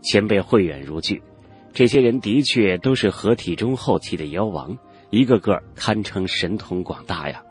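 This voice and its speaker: A man's voice: fairly low pitch, animated, moderate pace, faint music behind, close to an everyday voice. A man playing a cautious, deferential male cultivator in a fantasy audiobook, speaking politely and formally to his senior. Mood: flattering. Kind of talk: Chinese fantasy audiobook character acting.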